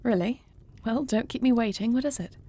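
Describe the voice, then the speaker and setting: A woman playing an affectionate, dutiful queen in an intimate fantasy audio roleplay, speaking softly and formally with measured, murmuring diction. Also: on the high side, lightly acted. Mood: intrigued, pleased. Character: affectionate, dutiful dragon queen, newly wed to a princess.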